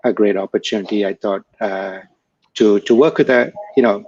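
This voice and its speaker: A man from Malaysia, a guest answering interview questions in a measured, hesitant way with many fillers. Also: lowish voice, a little mellow, breathy edge, no echo, lo-fi sound. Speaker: guest, former startup executive.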